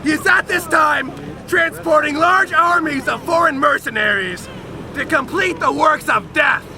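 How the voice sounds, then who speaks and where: A man giving a loud, dramatic, emphatic reading of a historical document outdoors, with deliberate phrasing. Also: very high-pitched, over music. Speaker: reader at an outdoor public reading. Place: outdoors on a lakeside beach. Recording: outdoor crowd and motorboat noise.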